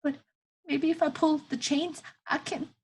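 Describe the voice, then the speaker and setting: A woman's voice: high voice, level tone, unhurried, darkish tone, a little hiss or hum, voice pushed up. A woman voicing a frightened, stubborn eleven-year-old boy in a storybook, speaking an old-time rural dialect with earnest insistence. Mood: desperate, insistent.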